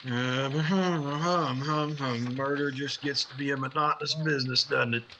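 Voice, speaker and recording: A man's voice: medium pitch, steady tone, normal speed, sharp tone, a little hiss or hum. A man playing a jaded, sardonic reporter, a little hesitant and uneasy, in a 1950s-style radio drama. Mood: wry, uneasy. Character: wry, jaded newspaperman, uneasy right-hand man.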